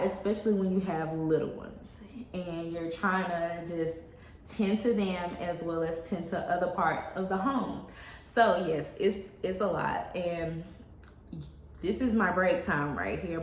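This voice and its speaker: A woman's voice: fairly low pitch, a little slow, a little hiss or hum. A woman in her thirties, a stay-at-home mom, chatting casually to camera about her days at home, addressing viewers as y'all. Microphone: phone camera. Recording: roomy home with faint ambience.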